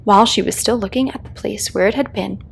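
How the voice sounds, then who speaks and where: A woman narrating a children's story as a read-aloud host, in a calming, expressive storytelling style. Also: mid-range voice, fast talker, creaky, slight background noise.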